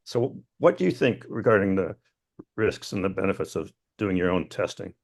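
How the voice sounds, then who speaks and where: A tired man with a raspy voice asking a rambling, friendly question on a video call. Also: low voice, lively intonation, normal speed. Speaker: audience member asking a question.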